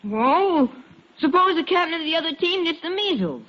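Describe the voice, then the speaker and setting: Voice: very high voice, sing-song, moderate pace. A performer playing an earnest, hesitant American schoolboy son in a 1940s radio family comedy. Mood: hopeful excuses. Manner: hesitant, sheepish. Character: earnest, evasive schoolboy son, sheepish and worried. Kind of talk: 1940s radio sitcom acting. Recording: dull lo-fi 1940s radio recording.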